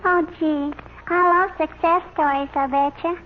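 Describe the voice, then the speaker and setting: A woman's voice: high voice, fairly even intonation, moderate pace, phone-quality sound, lightly acted. A woman playing an eager, pestering little girl in radio comedy, childishly repeating herself and tacking on insistent tags. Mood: eager, chatty. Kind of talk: old-time radio comedy sketch acting. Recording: noisy, thin old radio recording.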